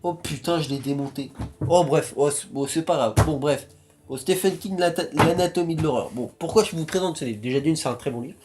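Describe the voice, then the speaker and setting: A man's voice: fairly high pitch, a little mellow. A young man from France talking casually to his viewers, rambling with many restarts and fillers. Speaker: YouTuber. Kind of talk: casual vlog talk.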